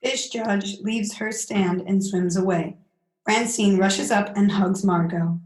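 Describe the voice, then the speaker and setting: Casting: young woman, average pitch, scripted stage-direction narrator for radio drama. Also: fairly even intonation, slow, darkish tone, poor audio. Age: young adult.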